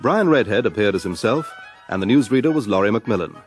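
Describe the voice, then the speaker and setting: A man's voice: lowish voice, lively intonation, fast. A man reading scripted cast credits as a radio announcer. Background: brass music.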